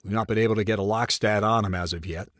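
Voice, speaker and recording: An actor playing a confident, blunt intelligence officer giving a clipped, matter-of-fact military briefing in a video game cutscene. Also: male voice, fairly low pitch, fairly even intonation, quick pace, a bit bright, dry recording, clean studio sound, lightly acted.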